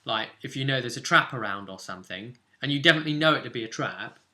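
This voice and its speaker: A man's voice: mid-range voice, expressive, fast talker, sharp tone. A British man casually recapping a tabletop role-play session as game master, telling it like a story in loose, broken pieces.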